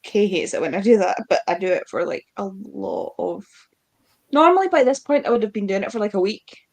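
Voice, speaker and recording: A woman's voice: mid-range voice, expressive, brisk, vocal fry. A woman co-hosting an informal book discussion, chatting casually and acting out bits of dialogue as she retells. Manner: informal, acts out quoted dialogue. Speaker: book club live show co-host. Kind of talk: casual chat about books.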